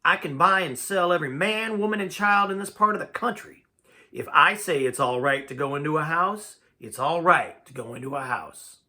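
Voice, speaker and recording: A man's voice: fairly high pitch, animated, normal speed, pushed and bright. A man reading the part of a domineering, greedy rich landowner with a bossy, demanding manner. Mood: commanding.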